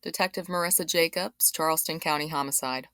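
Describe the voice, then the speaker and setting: An actress playing a matter-of-fact homicide detective, giving formal, factual testimony in a courtroom audio drama. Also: female voice, deep voice, brisk, fairly bright tone, no echo, studio quality.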